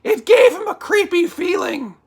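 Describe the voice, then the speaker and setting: A woman playing an excitable, easily sidetracked spooky storyteller for a comic puppet show, with exclamatory, drawn-out dramatic delivery. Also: extremely high pitch. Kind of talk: theatrical comic reading of a scary story.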